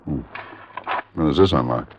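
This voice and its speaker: An American actor playing a gruff, wary police lieutenant in a 1950s radio detective drama. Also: male voice, extremely low pitch, normal speed, noisy background. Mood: matter-of-fact. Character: gruff, wary male police lieutenant, cautious about orders. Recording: muffled vintage radio recording, dry studio.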